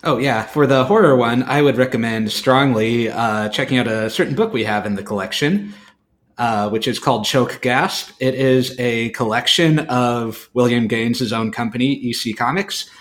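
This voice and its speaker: Mid-pitched man, earnest podcast co-host. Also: moderate pace. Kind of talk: casual podcast chat, recommending books.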